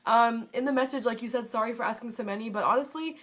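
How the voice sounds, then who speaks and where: A young American woman in her twenties with a stuffed-up, congested voice, sitting a touch high in pitch. She speaks her mind bluntly in a casual vlog, swearing freely as she goes. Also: fast. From United States. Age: 20s. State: congested from a cold. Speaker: vlogger.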